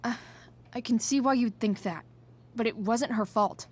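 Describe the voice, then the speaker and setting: An actress voicing a tough, guarded, foul-mouthed teenage girl in a video game, with a husky voice and muttered, halting delivery. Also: a little high, darkish tone, natural voice. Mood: tense, defensive.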